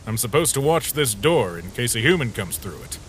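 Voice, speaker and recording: A man's voice: mid-range voice, sing-song, fairly quick, fairly bright tone, a little hiss or hum. A man voicing an easygoing, joke-loving skeleton sentry in a comic dub, casual and musing. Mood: wistful, musing. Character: easygoing skeleton sentry who loves jokes, troubled by nightmares. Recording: music behind the voice.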